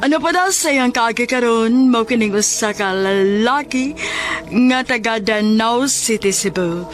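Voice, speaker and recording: A woman's voice: on the high side, unhurried. A woman with a Cebuano Filipino accent reading a dramatic radio drama introduction as announcer. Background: music.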